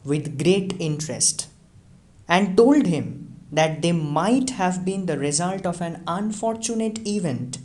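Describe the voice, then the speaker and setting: A storyteller reading a scripted ghost story in a dramatic, deliberate manner. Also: medium pitch, animated, darkish tone. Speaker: storyteller, audiobook reader. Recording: music behind the voice. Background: music.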